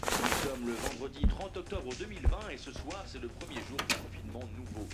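A man's voice: mid-range voice, animated, a little fast, loud music behind the voice. A man hosting a radio show, reading a scripted introduction. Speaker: radio host.

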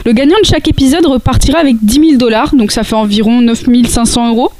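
A woman's voice: a little high, fast, dark tone, slight background noise. A woman giving a casual podcast segment on cosplay, talking with many hesitations and restarts.

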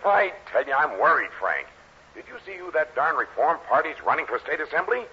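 An actor playing a scheming, brusque political boss in a 1940s radio comedy, with an urgent, cynical manner. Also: male voice, on the high side, very animated, a little fast, slightly rough, heavily acted. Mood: worried, scheming. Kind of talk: 1940s radio sitcom acting. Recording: dull old 1940s radio recording, faint noise.

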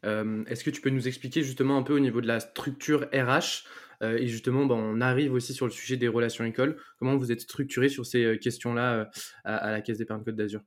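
A man hosts a podcast and interviews a guest in a loose, casual way. He hesitates often and repeats words, at a middle pitch for a man. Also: fairly even intonation, fast talker. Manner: hesitant, many fillers and repeated words when unscripted. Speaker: podcast host. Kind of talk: scripted intro, then casual interview.

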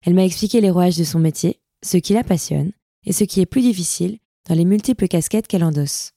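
Soft-spoken woman hosting a podcast. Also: fairly low pitch, no echo, studio quality. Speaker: podcast host. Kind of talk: interview podcast. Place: café-restaurant in Paris.

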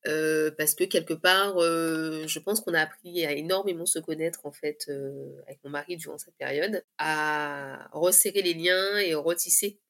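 Low-voiced woman sharing her story, hesitant. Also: fairly even intonation, measured pace, few pauses, a bit bright. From Guadeloupe. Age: 30s. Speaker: podcast guest giving a personal testimony. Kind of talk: candid personal storytelling in conversation.

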